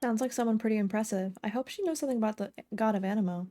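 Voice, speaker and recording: A woman's voice: medium pitch, very fast, mellow. A woman livestreaming a video game, chatting casually to her viewers with playful, jokey asides. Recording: game music under the voice. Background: video game music.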